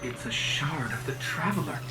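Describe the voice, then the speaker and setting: An actor voicing a small, eager robot companion in a video game, anxious and curious, with an expressive, urgent delivery. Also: male voice, medium pitch, normal speed, natural voice, poor audio. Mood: grave. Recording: loud music behind.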